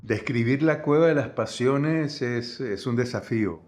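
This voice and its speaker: A man recollecting in an unscripted interview, halting as he searches for words. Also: medium pitch, a little mellow. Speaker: interviewee, former guerrilla radio member.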